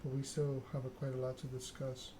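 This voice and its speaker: A man's voice: medium pitch, completely flat delivery, brisk, mellow, slight background noise, poor audio. A man doing an ASMR read-aloud of a narrative game, occasionally stumbling over the written text. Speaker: gaming channel host.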